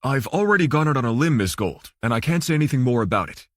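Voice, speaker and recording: A man's voice: medium pitch, animated, quick pace, no echo, studio quality, lightly acted. A man voicing a guarded, formal male banker who is politely firm, in an audiobook thriller.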